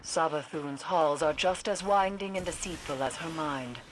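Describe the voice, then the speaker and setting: A woman voicing a solemn, cryptic occult scholar in a video game, speaking in a measured, formal way. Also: low-pitched, noisy background, lightly acted. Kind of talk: video game cutscene voice acting. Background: orchestral game score.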